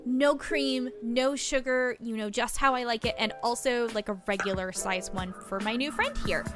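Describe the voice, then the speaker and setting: A woman's voice: fairly high pitch, normal speed, over music, close to an everyday voice. A woman playing a chatty, friendly small-town reporter who rambles and interrupts herself in a tabletop story game. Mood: chirpy, chatty.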